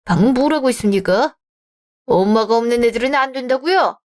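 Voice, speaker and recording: A stern middle-aged father who is fiercely protective of his daughter, read by a woman in a radio drama. He talks curtly, in a voice that stays on the high side. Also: expressive, brisk, a little mellow, natural voice.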